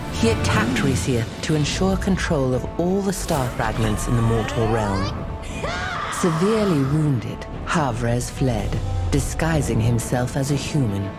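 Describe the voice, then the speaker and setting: A woman voicing a solemn storyteller, narrating dark fantasy game lore in a dramatic, measured way. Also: a bass voice, exaggerated voice. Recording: loud music behind the voice. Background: loud game music.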